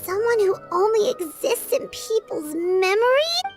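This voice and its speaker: A tiny fairy sidekick for a video game. The voice is extremely high and squeaky, childlike and eager. It swings about in lively melody, with music clearly playing underneath. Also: female voice, measured pace, full character voice. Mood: puzzled. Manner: speaks of herself in third person, perky.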